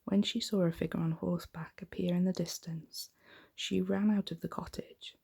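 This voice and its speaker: Breathy, soft-spoken woman reading a bedtime story. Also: lowish voice, fairly even intonation. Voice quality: breathy, whispery. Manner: gentle, unhurried.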